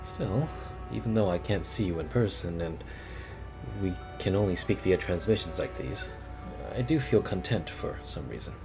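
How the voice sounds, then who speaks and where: Guy doing a soft polite maid from a game, low voice, gentle n formal, super devoted. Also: animated, moderate pace, over music. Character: soft-spoken, devoted young woman maid, polite and deferential.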